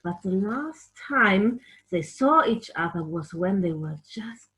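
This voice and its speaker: Slow, expressive woman reading to children. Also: mid-range voice. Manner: expressive, addressing children with questions. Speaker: children's storyteller and puppeteer. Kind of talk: picture-book story narration for children.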